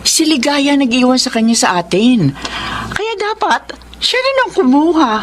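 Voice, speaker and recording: Lively, frank middle-aged Filipino wife. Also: female voice, high voice, unhurried, background noise. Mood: frank, reflective. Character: frank, practical middle-aged wife, kindly but firm employer. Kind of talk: Filipino radio drama acting.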